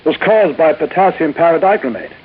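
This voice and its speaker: An actor playing an earnest, puzzled male medical specialist in a 1950s radio drama, halting and wordy. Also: a little high, expressive, natural voice. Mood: curious, earnest. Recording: tinny old radio recording, faint hiss.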